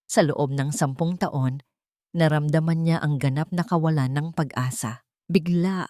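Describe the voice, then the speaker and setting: A woman's voice: on the low side, darkish tone, no echo, clean studio sound. A woman audiobook narrator reading a scripted dramatic story.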